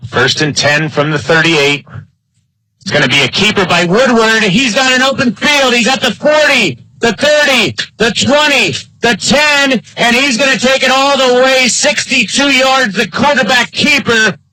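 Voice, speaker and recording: A man announcing live football play-by-play in clipped phrases, his excitement building on big plays. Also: very high voice, measured pace, no room sound, poor audio. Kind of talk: live sports play-by-play commentary.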